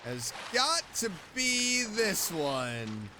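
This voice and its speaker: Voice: mid-range voice, very animated, drawn-out words, noisy background. A streamer giving casual, playful commentary while playing a video game and reacting to it. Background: game music.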